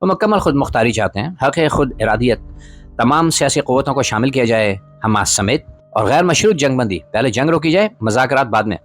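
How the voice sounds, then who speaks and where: A man's voice: medium pitch, expressive, fairly quick. A man narrating scripted political commentary as a podcast host, in a formal, literary manner with rhetorical emphasis. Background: background music.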